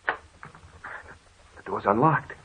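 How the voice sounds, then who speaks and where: An actor playing a brave, urgent young husband with melodramatic delivery in a 1940s radio horror drama. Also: male voice, very slow. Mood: determined. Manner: melodramatic, animated. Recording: muffled, slightly noisy 1940s radio recording.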